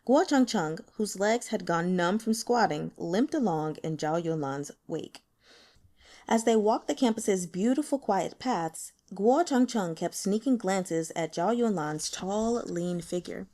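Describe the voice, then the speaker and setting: Expressive woman reading a novel. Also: medium pitch, unhurried. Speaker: audiobook reader. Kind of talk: expressive scripted narration of a novel.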